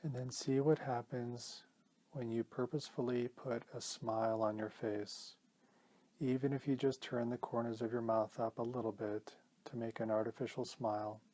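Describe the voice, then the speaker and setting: Man, meditation teacher, calm and gentle, measured phrasing, flat steady intonation, mid-pitched voice. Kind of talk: guided meditation instruction.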